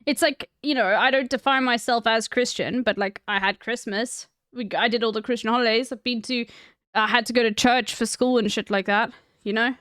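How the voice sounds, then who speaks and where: South African woman, clear and dry. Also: fairly high pitch, fairly even intonation, brisk, a bit bright, dry recording. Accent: English-speaking South African. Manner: blunt, sweary, talks back to chat. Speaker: streamer. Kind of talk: casual live-stream chat.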